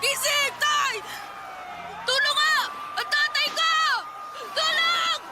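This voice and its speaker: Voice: extremely high pitch, fairly even intonation, slow pace, crowd noise. An actor in a radio drama playing a distraught teenager crying and screaming for a dying father. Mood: desperate panic.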